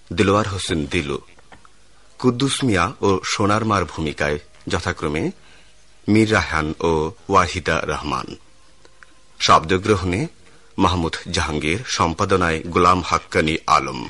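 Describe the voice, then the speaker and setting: A male radio announcer reading out the closing credits of a drama in a measured, formal way. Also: deep voice, no room sound. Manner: measured, even reading of names.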